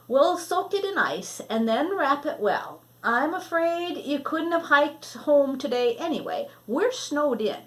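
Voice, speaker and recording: A woman voicing a kindly, caring grandmother in a storybook, gentle and hospitable. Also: high voice, lively intonation, unhurried, few pauses, pitched above the performer's own voice. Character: kindly, caring grandmother hosting a stranded boy.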